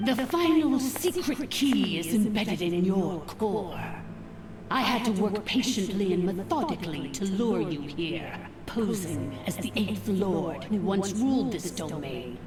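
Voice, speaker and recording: A woman's voice: mid-range voice, very animated, a little slow. A woman playing a vengeful, ancient imprisoned machine overlord in a video game, grandiose and menacing, with theatrical contempt. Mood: gloating menace. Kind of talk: scripted video game voice acting. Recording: echoey, distant, with music behind.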